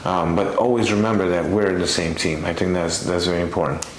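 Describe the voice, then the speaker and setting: A man with a fairly low voice speaks in an earnest, exhorting way, as in a conversational podcast. He repeats phrases for emphasis. The room is noticeably echoey, with faint noise behind him. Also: steady tone, a little fast. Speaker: podcast co-host. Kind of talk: conversational faith and marriage podcast talk.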